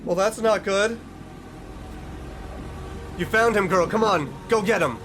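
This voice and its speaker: A man's voice: high voice, slow, fairly bright tone, slight background noise. A man hosting a reaction video, reading text aloud and commenting casually, sometimes stumbling over words and correcting himself. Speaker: online reaction video host.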